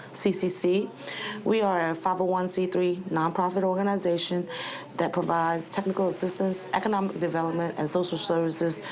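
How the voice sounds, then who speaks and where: A woman who directs a nonprofit, speaking firmly and earnestly about the people she serves. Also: on the low side. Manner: firm, earnest advocacy. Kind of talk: documentary interview.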